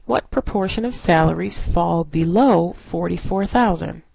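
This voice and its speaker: A woman's voice: on the low side, unhurried, gravelly, mellow, no echo, poor audio. A woman instructor narrating a lesson in an explanatory, step-by-step way. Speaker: statistics instructor.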